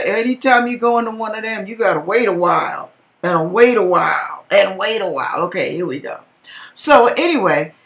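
A woman's voice: mid-range voice, fairly bright tone. A middle-aged woman giving a dramatic reading with casual narration, talking emphatically with conversational asides to the viewer. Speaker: video host reading a viewer's dream. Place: home kitchen indoors.

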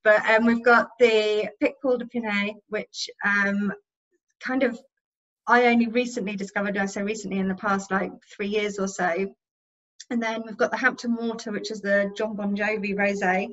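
A woman's voice: mid-range voice, flat delivery, normal speed, a bit bright. A woman in her thirties hosting an online wine tasting, hesitant with many fillers, speaking over a video call. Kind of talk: casual hosting. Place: home room. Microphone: laptop webcam video call. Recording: dull lo-fi call audio.

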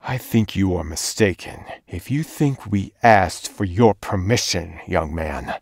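A man's voice: sits low, expressive, unhurried, vocal fry, no echo, clean studio sound, exaggerated voice. A man playing a stern, middle-aged wealthy father in a visual novel, scolding in a formal, authoritative manner.

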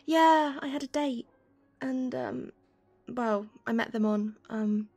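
A woman playing a playful, affectionate young best friend in a gentle, teasing ASMR roleplay. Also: fairly high pitch, slow pace, soft background music. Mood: chatty, weary. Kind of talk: gentle ASMR roleplay, one-sided conversation.

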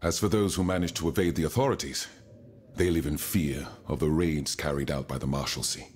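Deep-voiced, dignified colonial gentleman. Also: brisk, a little hiss or hum. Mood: solemn, explanatory. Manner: formal, eloquent, grave. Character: dignified wealthy colonial planter and earnest reformer, proud. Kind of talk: game cutscene voice acting, period drama.

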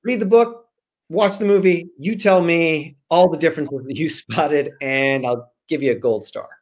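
A man's voice: on the high side, moderate pace. A man in his forties speaking as a guest author on a video call, chatting casually and reading from a storybook. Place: small room at home, indoors. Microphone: video call, computer at arm's length.

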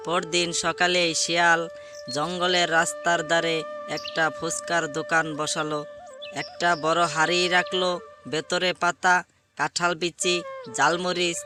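A man narrating a scripted moral animal story as a storyteller. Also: fairly high pitch.

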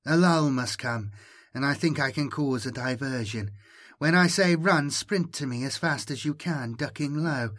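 Male narrator as ancient machine intelligence: raised pitch, husky, seductive, moaning, hypnotic, audiobook villain. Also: a little slow, a bit bright. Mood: coaxing. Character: ancient mad robot mind, seductive, coaxing, yearning.

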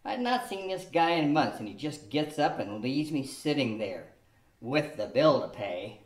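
A man's voice: fairly high pitch, very animated, measured pace, a little hiss or hum. An older man playing rueful old husband Elmer. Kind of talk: amateur radio-play acting from a script. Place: small studio with a draped backdrop. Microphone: stand mic at chest height. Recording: echoey room.

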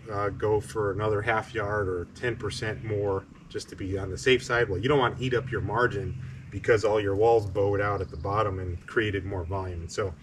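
A middle-aged man explaining a building project in casual, hesitant short phrases with frequent pauses. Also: on the low side. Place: pole barn interior and outdoors beside it. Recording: distant mic with faint ambient noise.

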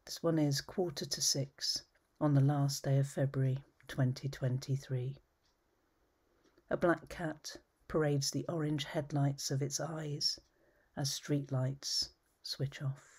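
Low, husky woman reading poems. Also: steady tone. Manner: measured, thoughtful reading with soft whispered phrase endings. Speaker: poet. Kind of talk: poetry reading. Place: home study with bookshelves, indoors.

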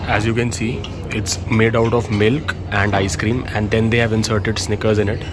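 Flat-voiced man reviewing restaurant food. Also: fairly low pitch, moderate pace, music in the background. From India. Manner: explains calories plainly, even-toned. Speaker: food vlogger. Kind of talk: casual food review narration. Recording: restaurant background noise.

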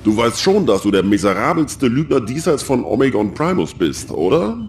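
A man's voice: medium pitch, very animated, normal speed, a little mellow, a little hiss or hum. An actor playing a cocky, wisecracking male starship crewmate with a dry, teasing manner in a sci-fi audio drama. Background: music.

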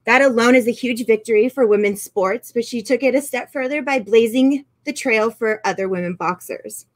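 Female sports co-host, thirties, slightly high voice, casual delivery on a video call. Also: normal speed. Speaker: sports talk show co-host. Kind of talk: casual chat and sports report.

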